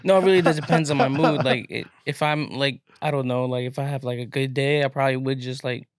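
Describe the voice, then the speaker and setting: A man with a smooth, resonant, mid-pitched voice, talking on a podcast. He rambles casually through his thoughts with plenty of fillers, and the sound is dry and close. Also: steady tone, quick pace, no room sound. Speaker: podcast guest. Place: podcast studio.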